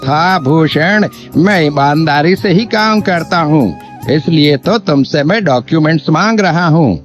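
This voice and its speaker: A man's voice: on the high side, expressive, a little slow. A man playing a sly, greedy village landlord in a cartoon moral story, with an unctuous manner that can turn bullying. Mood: feigned innocence. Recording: dull lo-fi audio with background music.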